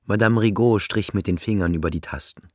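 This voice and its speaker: A man reading scripted literary narration for a radio drama in a measured, matter-of-fact way. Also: fairly low pitch, a little mellow, no room sound, studio quality.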